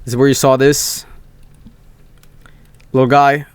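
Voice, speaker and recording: A man's voice: mid-range voice, level tone, unhurried, clear, resonant tone, no room sound. A young man from Sweden, telling rambling stories on a casual stream, swearing freely and restarting his sentences.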